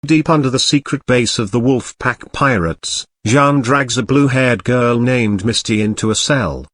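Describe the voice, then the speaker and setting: A man's voice: medium pitch, measured pace, fairly bright tone, soft background music. A man reading scripted narration for an audio drama.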